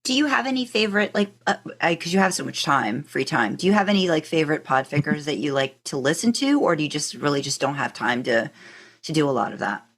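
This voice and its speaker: A woman's voice: low-pitched, very animated, fast. A woman hosting a podcast, asking questions in a casual, informal and encouraging way. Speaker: podcast host interviewing a guest. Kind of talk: casual conversational interview.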